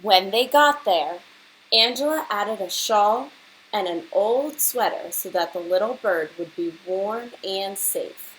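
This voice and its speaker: A woman teacher reading a picture book aloud to children and pausing to prompt them with questions, with hiss on the recording. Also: mid-range voice, fairly bright tone, poor audio. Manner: instructional, pausing to prompt young listeners.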